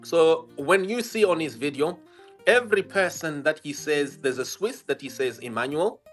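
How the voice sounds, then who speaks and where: A man's voice: a little high, expressive, normal speed, faint music behind. A man with a Zimbabwean accent, speaking as an investigative journalist in a livestream interview, emphatic and combative, repeating phrases for stress.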